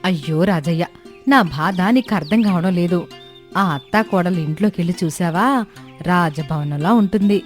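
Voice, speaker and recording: Woman acting scheming, envious village mother-in-law, lower-pitched. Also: moderate pace, faint music behind, natural voice. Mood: pleading, envious. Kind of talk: dramatized moral-story cartoon dialogue.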